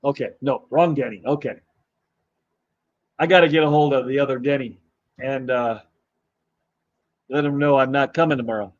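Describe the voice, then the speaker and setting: A man hosting a live stream, chatting casually and greeting viewers in a rambling, halting way while his voice is going hoarse. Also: mid-range voice, no room sound, muffled recording. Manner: rambling, halting, with many fillers and restarts. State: losing his voice. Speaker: livestream host.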